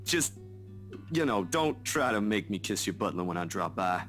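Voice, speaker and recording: A man's voice: fairly low pitch, sing-song, normal speed, fairly bright tone. A man voicing a shy, flustered young photographer who stammers and stays awkwardly polite. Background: game music.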